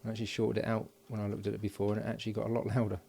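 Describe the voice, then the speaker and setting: A man's voice: fairly low pitch, monotone, very fast, gravelly, dark tone, slight background noise. A man giving casual, unscripted hands-on commentary as he builds an electronics project, murmuring along while he works. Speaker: hobbyist electronics builder and presenter.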